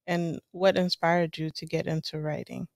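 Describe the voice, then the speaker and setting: A woman's voice: sits low, flat delivery, normal speed, gravelly, no room sound, clean studio sound. A woman hosting an interview show, introducing and questioning her guest in a friendly way that breaks into laughter. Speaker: host.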